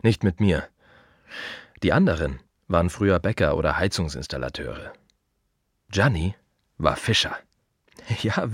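An actor playing a plain-spoken, reflective gravedigger in a radio play monologue, talking to the listener in short, repetitive, wry phrases. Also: male voice, low-pitched, breathy edge, no echo, clean studio sound, heavily acted. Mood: matter-of-fact, wry.

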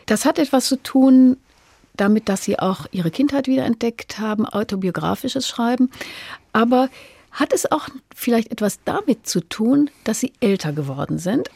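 A woman's voice: on the high side, animated, moderate pace, creaky, a little mellow, slightly rough, no echo. A woman interviewing a writer, putting considered questions in a literary conversation.

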